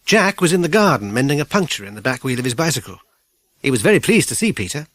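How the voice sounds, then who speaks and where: British guy narrating a kids audiobook, measured clear phrasing, medium pitch, dry studio sound. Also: very animated, a bit bright, no room sound. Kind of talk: scripted children's story narration.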